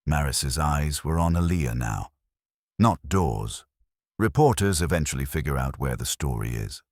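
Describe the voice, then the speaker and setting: Man, very deep voice, first-person crime story narration, animated melody, dry recording. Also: measured pace, no echo. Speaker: audiobook narrator.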